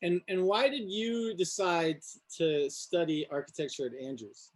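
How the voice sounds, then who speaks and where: A man's voice: a little high, measured pace. A middle-aged man hosting an alumni interview on a video call, friendly and hesitant with many fillers. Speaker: host, architecture professor. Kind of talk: interview host.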